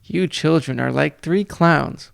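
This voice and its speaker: Guy doing snooty mocking vice principal from a kids audiobook, sneery, bit creaky. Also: fairly high pitch, takes it slowly, no echo, clean studio sound, full character voice. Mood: mocking glee. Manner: exclaiming, sneering, jeering. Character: pompous, mocking, dismissive male school vice principal with shrill giggle.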